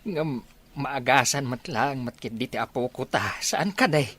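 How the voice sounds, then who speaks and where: Animated, worried old grandfather. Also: medium pitch, moderate pace. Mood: anxious. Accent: Filipino. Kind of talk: Philippine radio drama acting.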